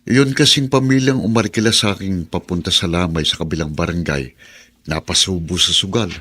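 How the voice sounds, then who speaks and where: A deep-voiced, hardworking husband. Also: male voice, normal speed, pushed and bright, slightly rough, full character voice. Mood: reassuring. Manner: earnest, reassuring. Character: hardworking husband, tricycle driver. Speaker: driver.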